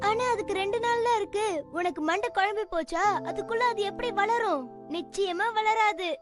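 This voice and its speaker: A woman's voice: very high-pitched, normal speed, music in the background. A woman voicing a small, skeptical, grumbling magical companion in a children's cartoon, nagging and teasing. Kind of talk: Tamil-dubbed children's cartoon acting.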